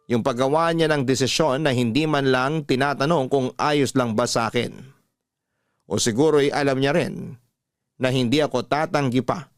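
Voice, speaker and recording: A man's voice: medium pitch, no echo, clean studio sound. A man with a Filipino accent narrating a scripted personal story as a radio-style host. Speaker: host reading out a listener's story. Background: music.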